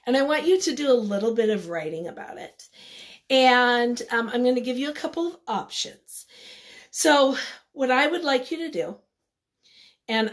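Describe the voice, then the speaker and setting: A woman with a high voice speaks as an elementary teacher to young children. Her delivery is slow and instructive, with simple questions, pauses and encouraging replies. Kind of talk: read-aloud lesson for young children, asking questions and answering them.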